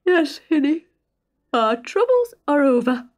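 A cheerful working-class Northern English husband from a storybook acted out by a woman. He talks heartily and boastfully, pitched up and very animated. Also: takes it slowly, heavily acted.

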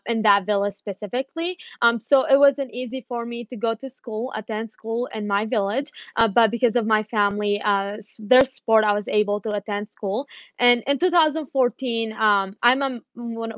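A woman's voice: mid-range voice, fairly bright tone, no room sound. Young woman, from northern Iraq, earnest storytelling, many ums, dull webcam sound, dry room. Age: young adult. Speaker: guest speaker, education activist. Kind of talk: personal story on a video call. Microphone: video call webcam.

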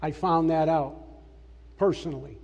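An older man delivers an earnest, plainspoken sermon from a church stage, his voice a little higher than most men's but mellow and soft in tone. A long reverb from the sanctuary trails his words, and faint music sits underneath. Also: unhurried. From Massachusetts. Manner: earnest testimony, personal and plainspoken. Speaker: preacher.

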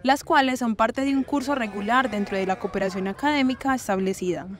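Woman narrator, slightly high voice. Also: a little fast. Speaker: news report narrator. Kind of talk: scripted voice-over narration.

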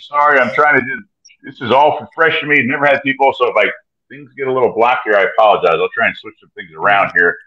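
A man's voice: mid-range voice, fast talker, pushed and bright, highs cut off. A man in his fifties hosting a casual video-call chat about films, asking loose, rambling questions. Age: 50s. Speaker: host. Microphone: webcam on video call.

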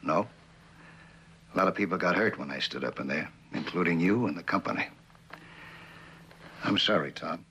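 An American man in middle age playing an earnest, conscience-stricken company man in a 1960s TV drama, speaking haltingly and soberly. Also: low voice, sharp tone, a little hiss or hum. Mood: weary, resolute. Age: middle-aged. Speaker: actor playing a witness. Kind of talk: 1960s television drama acting.

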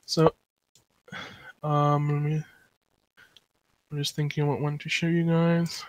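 A man's voice: on the high side, flat delivery, slow, dry recording, rough recording. A man from South Africa giving a live online chess lecture, thinking aloud with many fillers and reading out chat messages.

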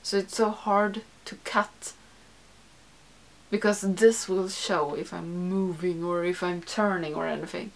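A woman's voice: fairly low pitch, fairly even intonation, a little slow, gravelly. A young woman, a non-native English speaker, chatting casually to camera in a small room, rambling and correcting herself with lots of "like". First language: not English. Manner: rambling, self-correcting, frequent "like". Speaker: beauty YouTuber. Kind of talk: casual chat to camera, answering a tag. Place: small room with makeup shelves, indoors.

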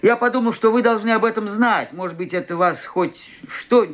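Guy playing a rambly smug old doc on the phone, really high voice. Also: normal speed, natural voice. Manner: rambling, fussy, talking on the telephone. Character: fussy old male doctor, self-satisfied. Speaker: doctor. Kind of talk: Soviet-era radio play acting.

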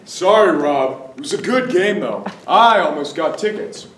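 A man's voice: fairly high pitch, animated, measured pace, fairly bright tone. A young man playing a breezy, chummy neighbor in a sitcom-style stage play. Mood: breezy, friendly. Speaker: stage actor. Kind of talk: 1960s sitcom parody stage acting. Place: theater stage. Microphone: distant camera microphone. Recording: roomy, noisy distant stage recording.

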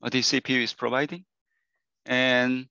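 A hoarse male professor gives a lecture over a video call, circling back on his phrases with plenty of fillers. His voice is bright and forceful. The audio is dry and a little dull, like a lo-fi call. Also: medium pitch, flat delivery, dry recording. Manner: halting, repeats phrases, many fillers. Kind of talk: online university lecture.

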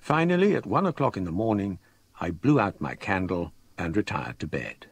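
An actor playing an older, scholarly English gentleman who narrates a ghost story in a measured, formal, literary manner for a radio drama. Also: sits low, sing-song, husky, full character voice. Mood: grave, reflective.

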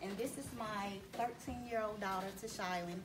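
A woman's voice: mid-range voice, moderate pace. A middle-aged woman from Mississippi introducing herself casually to a group. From Mississippi, United States. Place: indoor meeting room. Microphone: phone a few metres away. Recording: distant phone pickup, crowd and noise.

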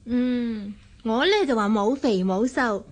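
A put-upon, nosy housewife in a radio drama. Her voice is high, with a Hong Kong Cantonese accent, and she has a complaining, know-it-all manner. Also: takes it slowly. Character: aggrieved, snooping plump housewife and friend.

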